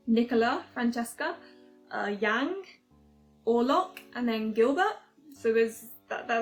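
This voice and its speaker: A young woman with an English accent chatting casually through a game review, hesitant and full of fillers and restarts. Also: a little high.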